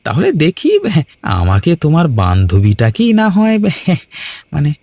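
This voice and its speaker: A man's voice: a little high, wildly swooping pitch, normal speed, a little mellow, dry recording. A man playing a brash, quarrelsome young villager in a cartoon, talking bluntly and goading in colloquial speech. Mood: teasing. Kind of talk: Bengali cartoon dialogue.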